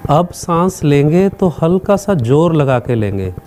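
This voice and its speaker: A man leading a guided meditation as a teacher, speaking calmly in short instructional phrases with long pauses. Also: on the high side, a little hiss or hum.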